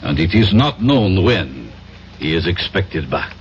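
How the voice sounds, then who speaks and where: A stern French inspector, formal and sceptical, with a French accent. His low voice moves in wide swings. It sounds like a muffled old radio play. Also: big pitch swings, measured pace, exaggerated voice. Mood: coolly sceptical. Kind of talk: 1970s radio drama acting. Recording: dull noisy vintage radio broadcast.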